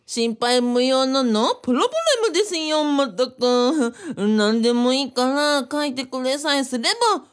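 A woman playing a genial, flattering cult founder in a radio drama, speaking politely with theatrical flourishes. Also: sits high, moderate pace, hardly any pauses, clean tone, close to an everyday voice. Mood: encouraging, boastful. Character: genial, flattering cult founder who performs comic stories.

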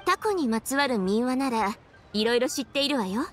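Young female game official, played by an actress: high register, poised, earnest, explanatory delivery. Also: animated, normal speed, faint music behind, lightly acted. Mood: pleased, reflective. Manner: composed, articulate, explanatory. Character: poised, thoughtful young woman official devoted to tradition. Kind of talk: anime video game voice acting.

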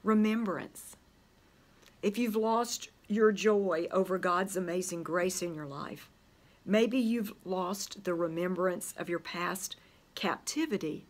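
An older woman with a Southern US accent teaching a church Bible study, her voice still worn from the flu, speaking slowly and deliberately from a library in front of a camera. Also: medium pitch. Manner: slow, deliberate teaching with emphasis on scripture quotes. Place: church library with bookshelves, indoors.

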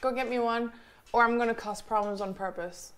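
A woman's voice: on the high side, fairly even intonation, a little fast, clear, resonant tone. A woman in her late twenties chatting casually on a live stream from her home kitchen, teasing and swearing freely. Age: late 20s. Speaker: streamer co-host.

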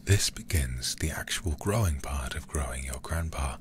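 A man streaming in a hushed ASMR style, reading horror game text deliberately into a close microphone. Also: extremely low pitch, lively intonation, a little hiss or hum.

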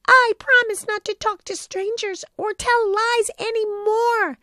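A woman's voice: very high voice, animated, takes it slowly, a bit bright, no echo, studio quality, pitched above the performer's own voice, exaggerated voice. A woman voicing an eager little wooden puppet boy in a storybook reading, with a chirpy voice. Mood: tearful.

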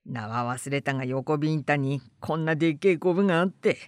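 A woman voicing a crafty, gruff male rogue in a storybook reading, blunt and speaking rough rural dialect. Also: low voice, a little fast, no echo, studio quality, pitched below the performer's own voice, lightly acted. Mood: sly, explaining.

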